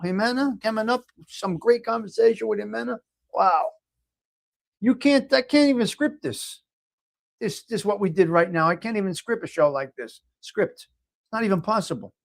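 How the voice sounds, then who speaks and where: Man, new york accent, live chat host, emphatic, repeats himself a lot, slightly higher voice. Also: moderate pace, gravelly. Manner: emphatic, repeats phrases, frequent restarts. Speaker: livestream host. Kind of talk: casual live chat, reading viewer comments aloud.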